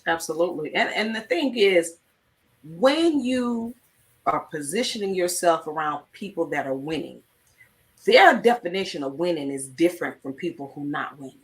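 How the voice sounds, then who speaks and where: A woman's voice: lowish voice, animated, normal speed, recorded from a distance. A woman hosting a live talk show, giving emphatic numbered tips in a teaching tone. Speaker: host. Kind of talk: motivational live-stream talk.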